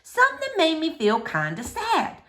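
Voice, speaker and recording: A woman reading a children's book aloud as scripted, expressive narration. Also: very high voice, moderate pace. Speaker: storybook reader.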